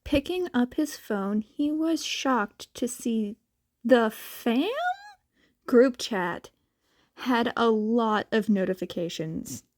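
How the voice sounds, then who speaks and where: A woman's voice: fairly high pitch, slow, a little mellow, no room sound. A woman narrating fan fiction as a scripted story reading.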